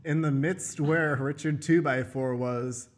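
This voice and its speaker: A man's voice: on the high side, steady tone, unhurried. A man narrating scene directions as stage manager of an improvised radio play.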